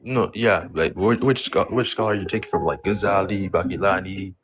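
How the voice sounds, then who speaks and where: Low-voiced man, halting casual debater. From Somali background. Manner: halting, heavy use of "like" and "you know". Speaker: debate participant.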